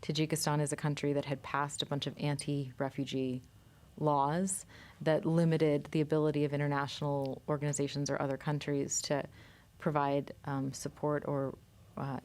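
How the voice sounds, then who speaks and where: A woman filmmaker, a guest in a studio interview, explaining her work in a measured way with frequent pauses and ums. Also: low voice, fairly even intonation, darkish tone.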